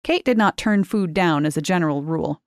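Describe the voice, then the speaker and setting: A woman reading short fiction aloud in a measured, unhurried way. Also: lowish voice, darkish tone, no echo, studio quality. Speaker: narrator.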